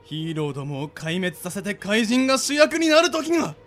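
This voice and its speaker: A man's voice: high-pitched, sing-song, normal speed, a bit bright, soft background music. A man playing an ambitious, theatrical monster boss in a radio drama, rousing his followers like a speechmaker. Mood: determined. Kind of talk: anime-like radio drama acting.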